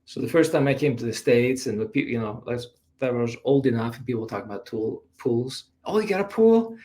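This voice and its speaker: A man from Iceland whose first language is Icelandic chats as a guest on a show. His voice sits at an average male pitch, and his style is informal and conversational. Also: animated, a little fast, dark tone. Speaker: interview guest. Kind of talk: casual chat.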